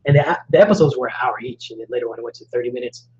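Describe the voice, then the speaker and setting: Man, mid-pitched voice, rambling jokey chat, trailing off quietly, dull lo-fi audio. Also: rapid-fire. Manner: rambling, joking, many trailing quiet asides. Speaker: co-host of a couple's channel. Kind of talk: casual live-stream chat.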